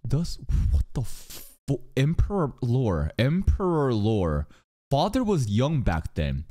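A man's voice: medium pitch, slow pace. Young guy reacting to anime on stream, voice jumps all over, swears a ton, desk mic. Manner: animated, swears a lot, talks back at the screen. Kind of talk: live reaction commentary. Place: small room indoors. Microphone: desk mic on arm.

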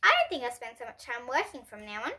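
An earnest, bewildered storybook father, acted out by a young girl, speaks in a high, bright voice. The phrasing is emphatic and thoughtful, with wide swings in pitch. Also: huge pitch swings, quick pace, exaggerated voice.

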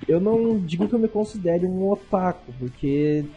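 A man's voice: high-pitched, lively intonation, moderate pace, slightly rough, dull audio, soft background music. A young man co-hosting a casual podcast, talking emphatically and repeating himself. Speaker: podcast co-host.

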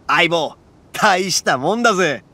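An actor voicing a loud, brash young oni gang leader in a game, swaggering and rough-talking but big-hearted. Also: male voice, high voice, animated, a little slow, exaggerated voice. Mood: impressed. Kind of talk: game voice acting. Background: game music.

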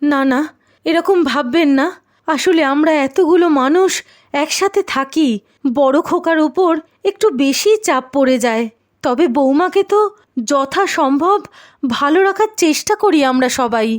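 A woman voicing a meek, patient, middle-aged mother-in-law in a cartoon, gentle and apologetic in the way she talks. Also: sits high, a little slow. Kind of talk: Bengali cartoon voice acting.

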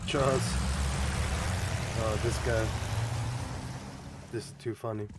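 A man streaming and reacting to game cutscenes on a headset, with amused, loud remarks. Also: mid-range voice, fairly even intonation, slow, heavy background noise. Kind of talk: casual reaction commentary.

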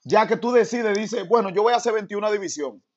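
A man with a high voice interviews a guest over a phone video call. He stumbles and restarts his questions as he goes. The sound is dull and lo-fi, like compressed call audio. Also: animated, brisk, a little hiss or hum.

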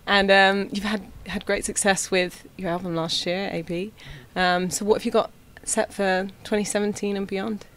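A woman's voice: mid-range voice, flat delivery, moderate pace, few pauses, fairly bright tone, a little hiss or hum. A woman interviewing a musician, asking chatty, friendly questions.

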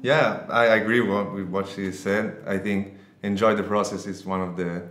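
Very deep, flat-voiced young man from Italy. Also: roomy sound, a little hiss or hum. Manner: brief, halting, agreeable replies with non-native phrasing. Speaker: guitarist guest. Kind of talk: casual interview. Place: small bright studio room. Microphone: studio condenser mic on stand.

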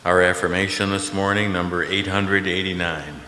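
An older man, a pastor, leading worship with solemn, measured liturgical readings and prayers in a church. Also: low voice, fairly even intonation, sharp tone, slight background noise. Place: large church sanctuary, at a pulpit. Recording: echoey church hall, long reverb.

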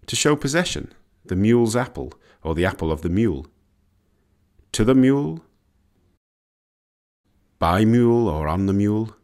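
A man reading scripted educational narration in a measured, explanatory way. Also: low-pitched, darkish tone. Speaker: narrator of an educational language history video.

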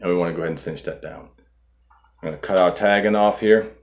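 Calm guy w a real low voice showing how to tie a knot step by step, indoors at a table. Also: quick pace. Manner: calm, methodical, walks through each step. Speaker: fishing channel host. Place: indoor studio in front of a boat.

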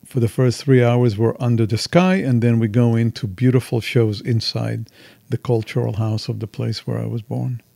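A man's voice: medium pitch, steady tone, moderate pace, gravelly, warm tone, no echo. A man in his sixties from Israel, telling stories candidly and at length as an interview guest. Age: 60s. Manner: expansive, candid storyteller. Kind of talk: casual interview chat.

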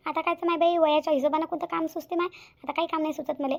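A woman's voice: high-pitched, fairly even intonation, very fast, gravelly. A woman voicing a chatty, complaining middle-aged village mother in a cartoon, with a Varhadi Marathi accent. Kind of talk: cartoon voice acting.

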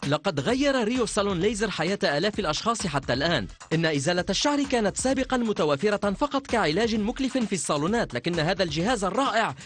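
Fast, lively male infomercial voice. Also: faint music behind. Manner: fast, lively sales pitch. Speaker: advertisement voice-over. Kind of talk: dubbed infomercial narration.

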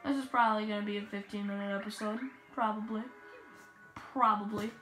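A woman giving rambling, casual commentary while playing a video game, with excitable bursts. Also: mid-range voice, brisk. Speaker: let's play gamer. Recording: roomy echo with game music.

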